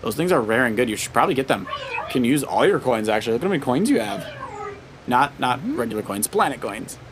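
A man's voice: mid-range voice, animated, fast, noisy background. A man, middle-aged, giving casual let's play commentary in a playful, coaching way as a father guiding his child. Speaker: father guiding child through a video game.

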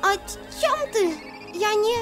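A woman's voice: very high-pitched, lively intonation, measured pace, over loud music. A woman voicing an anxious, stammering female lizard scientist in a cartoon comic dub. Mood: frightened. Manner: nervous, stammering. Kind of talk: fan comic dub acting.

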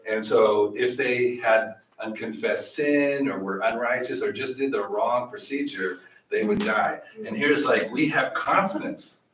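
A middle-aged man teaching a Bible study in a small church room, animated, with questions for the audience. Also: mid-range voice, unhurried, hardly any pauses, slight background noise. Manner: animated, gestures widely, asks the audience questions. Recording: echoey room, voice from far off.

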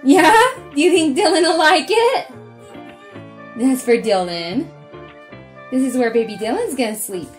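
A woman in her thirties, narrating a casual home cleaning vlog and speaking to her toddler in a gentle sing-song way, in a small bedroom with a camera across the room. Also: high-pitched, big pitch swings, unhurried, pauses often, faint music behind. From United States. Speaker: vlogger and mother. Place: small apartment bedroom indoors. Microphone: camera set up across the room.

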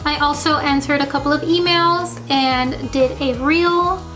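A young woman chatting casually through a home vlog about her ceramics, full of "like" fillers, a little hoarse as she loses her voice. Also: sits high, steady tone, unhurried, fairly bright tone, reverberant, soft background music. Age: young adult. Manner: chatty, many "like" fillers, upbeat. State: losing her voice. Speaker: ceramics small business owner vlogging. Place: home studio office and dining room, indoors. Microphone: camera mounted on window, handheld at times.

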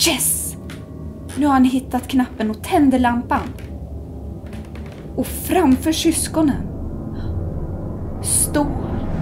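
A woman's voice: mid-range voice, noisy background. A young woman reading a ghost story aloud to children in a cozy living room, dropping to whispers at the tense moments. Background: soft background music.

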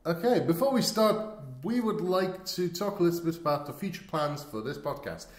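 A man's voice: a little high, lively intonation, brisk. A man hosting a podcast casually, wry and often searching for his words. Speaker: podcast host. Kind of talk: casual, unscripted show introduction. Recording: roomy, echoing sound.

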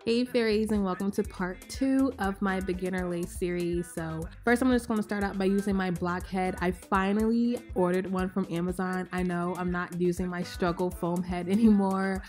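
Young woman, slightly hoarse, lower voice, chatty tutorial voice-over, casual fillers, faint music behind. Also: moderate pace, hardly any pauses. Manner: chatty, relaxed, explains step by step with "like" and "um". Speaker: hair tutorial vlogger. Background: faint background music.

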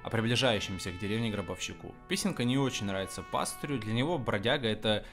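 Fast-talking, low-voiced man reviewing animation. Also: fairly bright tone, faint music behind. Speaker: video reviewer.

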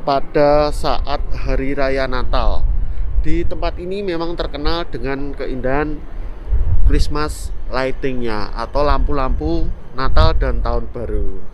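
A man in his thirties chats easily to his viewers as he strolls outdoors, filling pauses and checking in with them often. His voice sits at an ordinary middle pitch, caught on a phone held at arm's length, with faint street and traffic noise behind him. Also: low-quality recording. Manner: chatty, many fillers, keeps addressing viewers as friends. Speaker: vlogger. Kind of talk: casual walking tour vlog.

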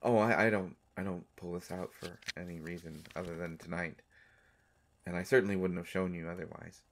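A man voicing an affectionate, mischievous vampire doctor in an intimate romantic audio roleplay, speaking in a halting, teasing way. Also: low-pitched, fairly even intonation. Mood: careful, tender. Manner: halting, teasing, soft-hearted speech. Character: gentle, mischievous male vampire doctor, centuries old, affectionate.

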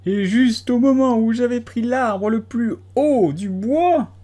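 A man voicing a shrill, paranoid pigeon guarding its eggs, lamenting and scornful by turns. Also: slow, mellow, heavily acted. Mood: shrieking. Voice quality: shrill, strained cries. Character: shrill, paranoid, wailing female-nest pigeon.

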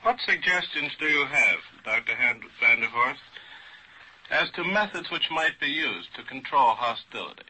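A man moderating a formal scientific panel in a mid-century radio style, deliberate and courteous. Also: medium pitch, animated, pushed and bright, slightly rough. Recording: dull vintage radio recording.

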